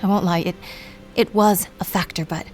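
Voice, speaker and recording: A woman's voice: on the low side, steady tone, moderate pace, faint music behind, natural voice. A woman playing a wry, capable submersible pilot and mother in an audio drama. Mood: earnest.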